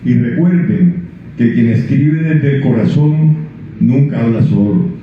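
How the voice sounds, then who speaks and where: An older man speaks as an author at his book launch. His talk is mid-pitched and halting, full of restarts. The sound is very echoey and thin, like a phone line, with faint hiss. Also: animated. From Colombia. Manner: halting, with many restarts and fillers when speaking freely. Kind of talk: reading prologue, then answering questions. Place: book presentation event. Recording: echoey room over a tinny lo-fi line.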